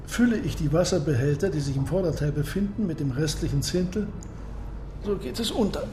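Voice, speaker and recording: Proud captain-engineer male actor, radio drama. Higher register, courteous, measured, secretive; echoey room. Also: animated, natural voice. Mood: proudly explaining. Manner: measured, precise, formal. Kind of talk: German radio drama acting. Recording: roomy echo, clear noise behind.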